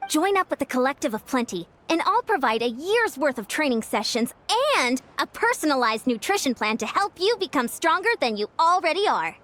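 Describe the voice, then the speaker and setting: Brief: a bubbly, pushy game recruiter, bright and animated. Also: female voice, high voice. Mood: eager. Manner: eager, persuasive, quick to interrupt. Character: pushy, energetic young woman recruiting for her tribe. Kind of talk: video game voice acting.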